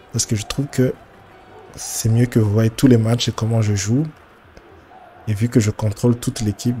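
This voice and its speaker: A man with a head cold giving casual, halting commentary as he streams a football video game, often repeating his words as he thinks aloud. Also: mid-range voice, mellow. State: congested with a cold. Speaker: gaming streamer.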